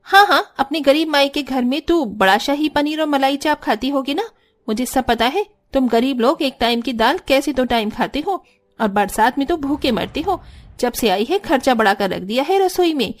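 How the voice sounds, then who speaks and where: A woman's voice: sits high, lively intonation, normal speed, pitched above the performer's own voice. A woman voicing a haughty, quarrelsome sister-in-law in a cartoon story, with a taunting, scornful manner. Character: haughty, spiteful elder sister-in-law, housewife. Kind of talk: exaggerated Hindi cartoon story acting.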